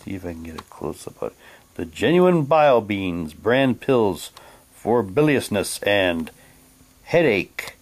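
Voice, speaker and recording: A man doing a casual unboxing, talking in a halting way and reading packaging text aloud like a hammy radio announcer. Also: lowish voice, very animated. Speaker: vlogger unboxing a collectible audio drama CD.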